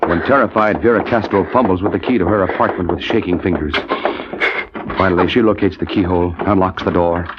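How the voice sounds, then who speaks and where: A man's voice: sits low, fairly even intonation, a little fast, very noisy. A man announcing and narrating in dramatic 1950s radio style. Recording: muffled vintage radio recording. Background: loud organ music.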